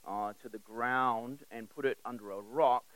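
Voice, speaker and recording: A man's voice: medium pitch, fairly even intonation, normal speed, a bit bright, no room sound, low-quality recording. A man who grew up in South Africa preaching a sermon in a conversational, emphatic way, with direct questions, repeated phrases and personal stories. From South Africa, born in England.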